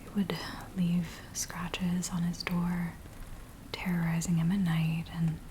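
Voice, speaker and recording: A woman playing a frightened villager in a soft, breathy, whispered ASMR roleplay, speaking in a hushed, hesitant way. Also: on the low side. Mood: grave, haunted. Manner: hushed, hesitant, slow storytelling. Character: frightened village woman pleading with a werewolf tracker. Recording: steady rain-like background noise. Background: rain sounds.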